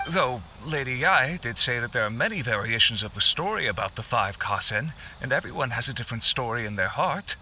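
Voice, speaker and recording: A man's voice: mid-range voice, expressive, brisk, few pauses, bright, forceful tone, slight background noise. A man voicing an earnest, apologetic publishing official in a video game, formal and deferential. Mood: encouraging.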